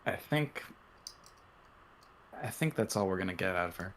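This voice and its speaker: A man's voice: low voice, expressive, normal speed, slight background noise. A man reading a visual novel aloud as narrator on a stream. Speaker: reader voicing the lead.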